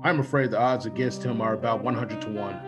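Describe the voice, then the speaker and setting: A man playing a dutiful, deferential army major in 1940s-style radio drama, with a husky voice. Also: on the low side, quick pace, music in the background, natural voice. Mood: sober, deferential.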